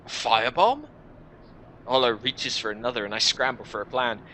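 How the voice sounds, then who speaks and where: A man's voice: medium pitch, lively intonation, moderate pace, pushed and bright, slight background noise. A man reading a scripted first-person action story as a dramatic narration for a video game.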